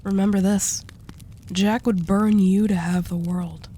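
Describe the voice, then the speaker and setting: A woman's voice: mid-range voice, steady tone, measured pace, warm tone, slight background noise, close to an everyday voice. A woman acting as a dominant female mafia boss in an intimate audio roleplay, composed, teasing and coolly seductive. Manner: teasing, condescending endearments, composed.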